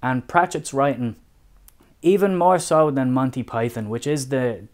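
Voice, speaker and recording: A young adult man with a Dublin accent, talking through a book review in a measured, thoughtful way. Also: medium pitch. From Ireland. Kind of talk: casual spoken review.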